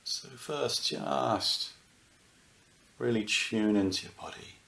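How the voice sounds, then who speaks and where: A man leading a guided meditation as a teacher, speaking soothingly in short phrases with unhurried pauses. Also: mid-range voice, vocal fry, fairly bright tone, echoey room. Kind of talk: guided meditation, unscripted and gentle.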